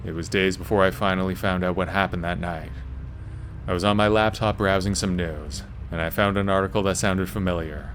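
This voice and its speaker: A man with a very low voice narrates a first-person horror story from a script. Faint ambient noise sits behind his voice. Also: fairly even intonation, fairly quick, a bit bright.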